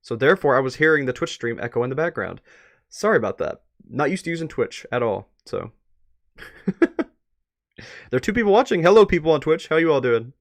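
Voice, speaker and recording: A man live-streaming a reaction to a web series, chatty and excitable, thinking aloud as he watches. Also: a little high, brisk, long pauses.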